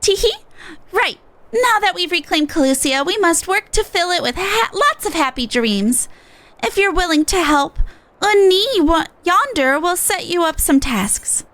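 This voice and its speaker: High-pitched, mischievous little game pixie. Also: female voice, animated, measured pace, fairly bright tone, dry recording, exaggerated voice. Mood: playful.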